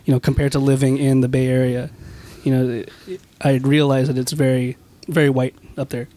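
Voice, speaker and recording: A man from Washington State, a podcast guest, speaking in a measured, hesitant way, with many restarts and "you know". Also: mid-range voice, little pitch movement, dark tone. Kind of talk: casual panel chat.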